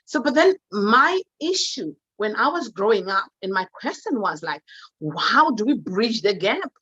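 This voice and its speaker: A woman's voice: a little high, very animated, unhurried, fairly bright tone, slightly rough, no echo, muffled recording. A woman from Zimbabwe with a Zimbabwean accent, giving an unscripted motivational talk, emphatic and exuberant, repeating words for emphasis. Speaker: guest motivational speaker on wealth.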